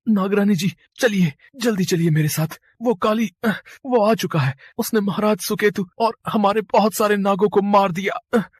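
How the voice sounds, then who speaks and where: A man's voice: high voice, moderate pace, few pauses, husky, no room sound, studio quality, pitched above the performer's own voice. A man voicing a loyal, devoted serpent army commander who pleads anxiously and urgently in a fantasy story. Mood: panicked.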